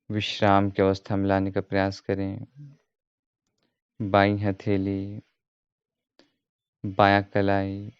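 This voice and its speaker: A man giving guided meditation instruction as a yoga teacher, in a calm, measured, repetitive way. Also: on the low side, smooth. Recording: roomy echo, dull muffled sound.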